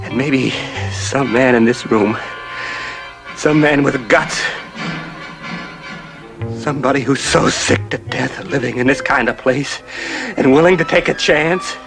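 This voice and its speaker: A man's voice: mid-range voice, gravelly, low-quality recording. An actor playing a drunken, desperate townsman in a 1960s television drama, bitterly goading and defiant. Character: drunken desperate townsman defying a feared child tyrant. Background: dramatic music.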